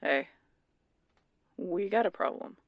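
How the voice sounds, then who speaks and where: A woman's voice: mid-range voice, expressive, slow pace. A woman playing an urgent, no-nonsense detective colleague in an audio drama, heard through a phone-call effect. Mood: urgent, worried. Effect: phone call filter. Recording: dull, muffled phone-call sound.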